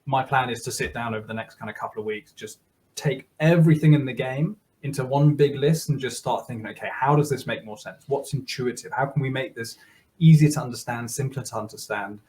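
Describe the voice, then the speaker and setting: A German man in his thirties, a software developer chatting as a guest on a video call, laughing often and restarting his sentences. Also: medium pitch, brisk. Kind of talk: casual interview talk.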